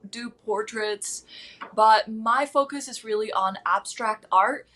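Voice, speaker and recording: A woman's voice: on the high side, sharp tone. A woman in her twenties, a guest artist, talking about her work in an upbeat, enthusiastic way over a video call. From East Coast of the United States. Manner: upbeat, enthusiastic, with frequent fillers. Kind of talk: casual interview answers.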